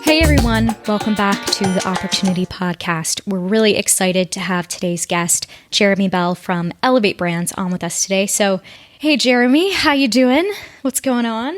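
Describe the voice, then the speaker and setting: A woman's voice: mid-range voice, moderate pace, faint music behind. Upbeat woman podcast host. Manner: upbeat, laughs easily, asks loose rambling questions. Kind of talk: podcast intro and interview.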